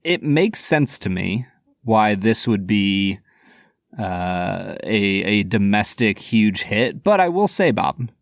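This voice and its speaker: A man co-hosting a podcast whose pitch swings widely as he teases his partner. He is quick to laugh and sounds close and dry on the mic. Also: sing-song, no room sound. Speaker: podcast co-host. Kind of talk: casual podcast chat.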